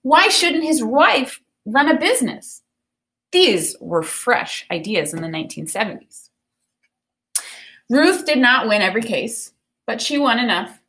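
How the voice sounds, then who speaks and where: A woman's voice: on the high side, very animated, unhurried, a bit bright. Woman teacher reading aloud. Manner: explanatory, checks understanding with "right?". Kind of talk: read-aloud of a children's picture book with teaching commentary.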